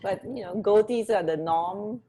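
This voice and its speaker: A woman's voice: medium pitch, moderate pace, warm tone, slightly rough. A woman co-hosting a radio show, chatting casually and sharing bits of trivia.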